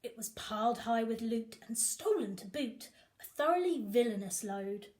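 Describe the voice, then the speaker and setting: Lady reading kids a picture book, highish voice, super lively, sing-song rhymes. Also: moderate pace. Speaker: storyteller reading to children. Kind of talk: expressive picture book read-aloud.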